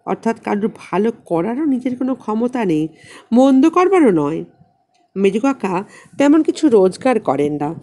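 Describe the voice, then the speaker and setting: A woman reads a literary story as an audiobook narrator, in a measured, expressive way with deliberate pauses. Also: a little high.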